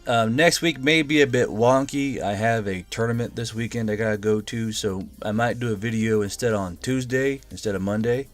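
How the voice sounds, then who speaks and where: A man's voice: medium pitch, moderate pace, hardly any pauses, faint music behind. A man narrating a story in audiobook style as the host and reader.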